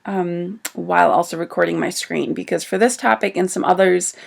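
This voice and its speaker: A woman's voice: on the low side, moderate pace, gravelly. A woman doctor who is getting over a cold gives an informal talk on survival medicine, with frequent ums as she explains. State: recovering from a cold. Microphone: livestream setup.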